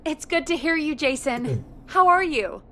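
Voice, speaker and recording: Radio producer, very high voice, friendly, earnest, practical, chatty, game drama, faint noise. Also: female voice, very animated, measured pace, pushed and bright, exaggerated voice. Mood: concerned, friendly. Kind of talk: dramatic video game voice acting.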